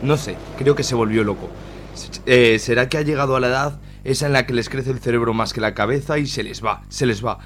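A man's voice: mid-range voice, brisk, slight background noise, lightly acted. A man playing a grandfather who is shaken up, hesitates and swears. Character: older grandfather, shaken and crude.

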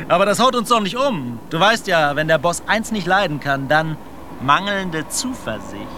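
A man's voice: high-pitched, very animated, unhurried, pushed and bright, slight background noise, full character voice. A man playing a confident seasoned sailor in an offhand, breezy manner in a radio drama. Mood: breezy confidence. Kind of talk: German radio drama acting.

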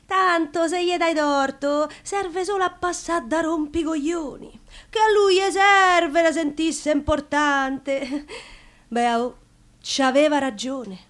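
A woman's voice: sits high, takes it slowly, fairly bright tone. An actress playing a wry, defiant Roman woman in a colloquial, ironic theatre monologue, with a Roman accent. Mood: wry, confiding.

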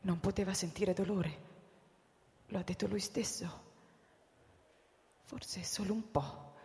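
A woman's voice: mid-range voice, steady tone, slow, a little mellow. Distressed, bewildered woman, mid-range voice, radio play, strongly reverberant hall. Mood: stunned, subdued. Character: distressed young woman, pleading, then stunned and bewildered. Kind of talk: theatrical radio drama acting.

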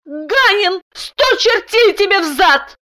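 Shrill, hysterical, sharp-tongued wife. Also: female voice, expressive, slow pace, full character voice. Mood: angry, shrill. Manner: shrieking, whiny, hissing.

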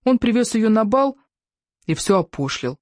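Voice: medium pitch, big pitch swings, moderate pace, dry recording, clean studio sound, pitched above the performer's own voice, natural voice. A narrator voicing a gossipy, opinionated young woman who works in a hat shop, chatty and exclamatory. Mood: scornful.

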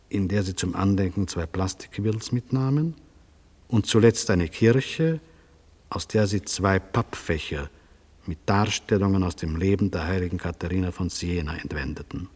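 A stern judge in a vintage radio drama, with long reverb around him. The voice is a little low and slightly husky, and he speaks in a dry, curt, official way. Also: a little slow, darkish tone. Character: stern, sober male judge questioning a defendant. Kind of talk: 1970s German radio play acting. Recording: reverberant courtroom-like radio studio sound.

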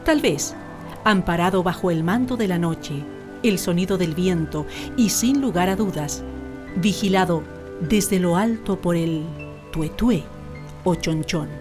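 A woman's voice: lowish voice, unhurried. A woman narrating a scripted radio drama in a storytelling style. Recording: clear music bed under narration.

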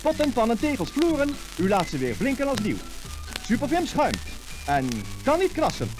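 Casting: male commercial announcer, swooping and lively, scripted sell over music, on an old disc. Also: very high voice, moderate pace, low-quality recording. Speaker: advertising announcer. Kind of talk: scripted cleaning-product commercial narration.

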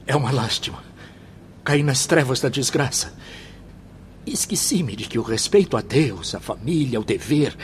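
An actor playing a tormented, repentant former bohemian in a religious radio drama, speaking in a solemn, theatrical, confessional way. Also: male voice, medium pitch, slight background noise. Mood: bitter regret.

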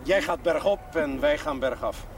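A man's voice: a little high, normal speed, lightly acted. A man acting a sober cleric in a naturalistic television drama. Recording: distant mic, noisy outdoor sound.

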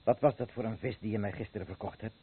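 An actor playing a poisoned, bereaved father accusing the seller, husky and bitter, in a Dutch radio play. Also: lowish voice, fairly even intonation, fast. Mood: sickly, bitter. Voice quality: husky, rough. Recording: muffled, phone-like old radio recording.

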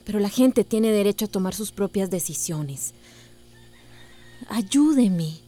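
A woman's voice: medium pitch, animated, slow pace, mellow. An actress playing a proud, stubborn young woman schoolteacher in a radio soap opera. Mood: hurt, defiant. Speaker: actor in a radio drama.

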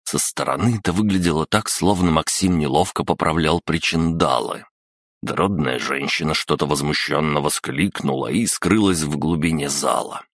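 A man's voice: extremely low pitch, normal speed, fairly bright tone, no echo, studio quality. A man reading an audiobook aloud, narrating the story and giving the characters their lines himself. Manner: reads all characters himself.

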